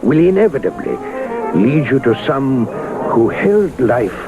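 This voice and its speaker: An actor playing a grave, courteous official who gives formal, measured warnings in a vintage radio drama. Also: male voice, medium pitch, very animated, phone-quality sound, music in the background. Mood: ominous. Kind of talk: 1970s radio drama acting. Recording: vintage radio recording, faint noise.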